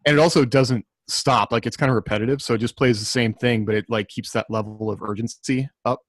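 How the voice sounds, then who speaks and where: A man with a mid-pitched voice hosts a casual podcast in a very dry-sounding space. His phrasing is tentative and informal, like a writer thinking aloud. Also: steady tone, brisk, no room sound.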